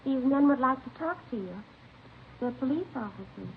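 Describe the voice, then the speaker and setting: An actress playing a protective, trusting middle-aged aunt in a vintage radio drama, earnest and anxious. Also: female voice, high voice, lively intonation, normal speed, gravelly. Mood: uneasy. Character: protective, trusting aunt of a wayward young man. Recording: lo-fi vintage radio recording, faint hiss.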